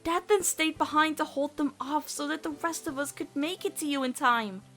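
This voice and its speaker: A woman's voice: sits high, moderate pace, bright, forceful tone. A woman voicing a devoted, earnest teenage girl in an emotional cartoon comic dub, pleading and faltering as she speaks. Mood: pained. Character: devoted, earnest teenage girl, fearful of losing loved ones.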